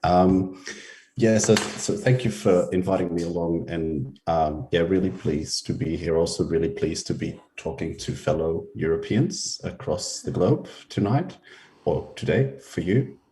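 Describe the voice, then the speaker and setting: Very low man's voice, mellow, from Belgium, online conference talk, hesitant with frequent ums, video call audio. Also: unhurried, low-quality recording. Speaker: researcher and symposium speaker.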